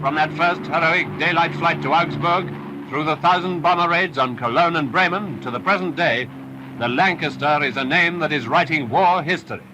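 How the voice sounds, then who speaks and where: A man narrates a wartime film with an emphatic, patriotic delivery. His voice sits slightly high. The sound is an old restored film reel with steady hiss and crackle. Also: moderate pace.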